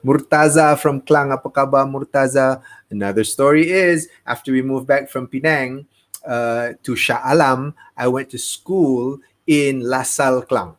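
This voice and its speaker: A man's voice: medium pitch, unhurried. Chatty man with Midwestern American twang. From Malaysia. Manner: chatty, greets viewers one by one, mixes in Malay phrases. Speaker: livestream host. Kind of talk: casual livestream chat. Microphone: desk mic on a streaming setup.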